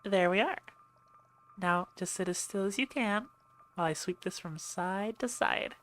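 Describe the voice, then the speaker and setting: A woman's voice: mid-range voice, a little slow, fairly bright tone, slight background noise, lightly acted. A woman playing a brusque, sarcastic ship medic in an ASMR roleplay, dry and impatient, talking straight to her patient. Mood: businesslike. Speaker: voice actor in audio roleplay. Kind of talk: ASMR roleplay acting, speaking to listener.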